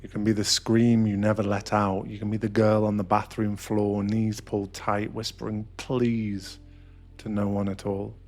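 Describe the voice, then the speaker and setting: A man narrating a scripted ASMR guided meditation in a hushed, deliberate, intimate and dramatic manner. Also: on the low side, monotone. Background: music.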